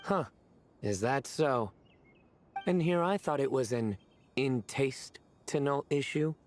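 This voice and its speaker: An actor playing a stern, stoic young man in a video game, with a deadpan, measured and dryly self-satisfied delivery. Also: medium pitch, slight background noise, natural voice. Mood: deadpan joking. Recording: faint music under the voice.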